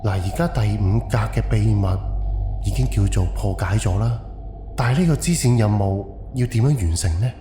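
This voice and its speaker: A man's voice: on the low side, moderate pace, warm tone, a little hiss or hum, close to an everyday voice. A man playing a wary, analytical haunted-house investigator thinking aloud in an audio drama, with a musing, questioning delivery. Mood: tense, questioning. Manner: musing, questioning, muttered self-talk. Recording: echoey reverb, music underneath. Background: background music.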